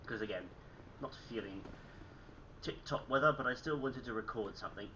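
Young man, phlegmy, self-mocking game chatter, steady hiss behind him. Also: mid-range voice, normal speed, dull audio. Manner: chatty, self-mocking, frequent throat clearing. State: a bit sick and phlegmy. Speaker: gaming channel host. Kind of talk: casual gameplay commentary. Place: small room at home.

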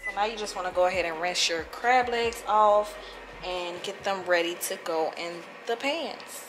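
A young woman giving relaxed, chatty cooking instructions in her home kitchen, filmed on a camera mic. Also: fairly low pitch, moderate pace, rough recording. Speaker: cooking vlogger. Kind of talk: casual cooking walkthrough. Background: background music.

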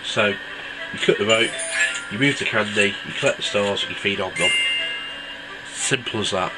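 A man's voice: fairly low pitch, normal speed, poor audio. A man with a stuffy cold, casually reviewing a mobile game with lots of ums. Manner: relaxed, rambling with many ums. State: congested from a cold. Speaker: app reviewer. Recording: loud game music behind.

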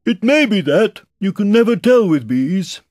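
Guy doing a storybook toy bear, high husky voice, solemn and a bit anxious, very earnest schemer. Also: very animated, measured pace, no echo, studio quality, heavily acted. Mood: secretive, calling out. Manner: ponderous, solemn, calling out loudly. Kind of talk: children's storybook character reading.

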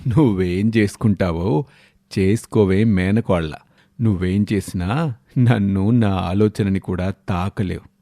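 A man's voice: low-pitched, measured pace, warm tone, no echo, studio quality, voice pushed down. A man voicing a sly middle-aged lawyer uncle in an audio story, taunting and sure of himself. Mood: smug, taunting. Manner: taunting, self-assured.

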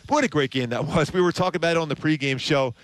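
A man's voice: a little high, quick pace, no echo. A man in his fifties giving emphatic, conversational postgame analysis as a sports commentator. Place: open broadcast desk at a ballpark concourse. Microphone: headset.

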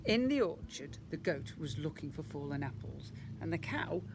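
A man, reading a children's storybook aloud outdoors in an expressive, deliberate way with drawn-out words. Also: a little high. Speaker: school teacher reading to young children. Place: outdoors in a school garden. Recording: outdoor recording with machinery noise.

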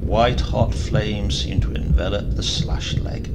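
A man giving a dramatic reading of a horror story, often dropping to a hushed whisper. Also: fairly low pitch, takes it slowly. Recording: loud steady hiss behind the voice.

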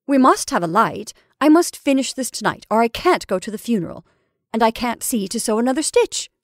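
A woman's voice: a little high, very animated, brisk, dry recording, studio quality. An impatient storybook wife, voiced by a female reader, scolds and insists in a peremptory manner. Her voice is slightly raised and very closely, dryly recorded. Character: impatient, peremptory married woman busy with sewing.

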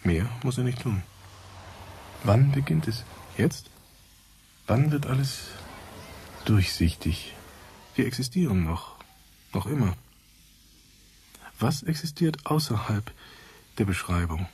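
An actor playing a brooding, philosophical narrator in a radio drama, speaking in an emphatic, deliberate, questioning way. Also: male voice, mid-range voice, lively intonation, darkish tone, a little hiss or hum.

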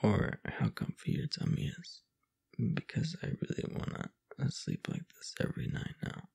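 A young man playing a drunk, affectionate roommate in an intimate roleplay audio, slurring drowsily in a husky, halting voice. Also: a little mellow, natural voice. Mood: sleepy, fond. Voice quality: husky, breathy, gravelly low voice. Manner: slow, halting, slurred drowsy tipsy delivery. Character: drunk, affectionate young male roommate confessing his crush.

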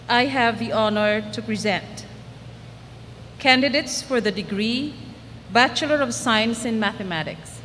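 A woman's voice: a little high, a bit bright. A woman dean formally presenting graduation candidates at a podium microphone in a large hall. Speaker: college dean. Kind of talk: formal ceremonial address. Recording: reverberant hall, faint noise.

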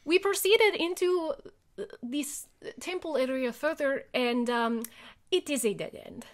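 Female tabletop player, somewhat high-pitched, conversational in-character delivery. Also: a little slow.

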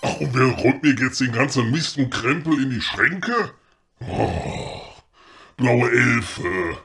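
An actor playing a grumpy, lazy old carpenter in a comedy audio play, gruff and teasing. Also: male voice, mid-range voice, expressive, slow, music in the background. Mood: grumbling. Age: older. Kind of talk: German comedy audio drama.

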